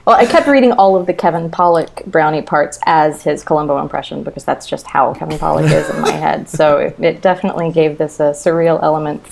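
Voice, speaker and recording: A woman's voice: low-pitched, fairly even intonation, fairly quick, creaky, few pauses, a little mellow. A woman guesting on a podcast, chatting casually and comically in a wry way. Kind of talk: casual comedic chat. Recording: slight background noise.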